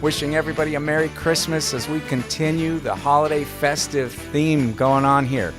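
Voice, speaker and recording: A man's voice: on the high side, fairly even intonation, moderate pace, music in the background. A man co-hosting a casual podcast, talking in a joking, self-deprecating way. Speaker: podcast co-host. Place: indoors at a small theatre.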